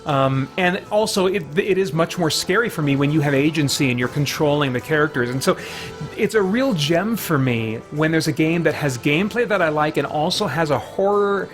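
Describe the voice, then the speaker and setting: A man's voice: fairly high pitch, expressive, moderate pace, soft background music. A man hosting a video game livestream with casual, rambling commentary, breaking off mid-thought to react to the game and chat.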